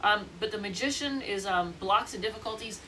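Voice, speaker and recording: A woman's voice: mid-range voice, brisk, pushed and bright, recorded from a distance, slight background noise, low-quality recording. A congested woman with a stuffy nose giving a casual, rambling tarot reading, thinking aloud and restarting often. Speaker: tarot reader.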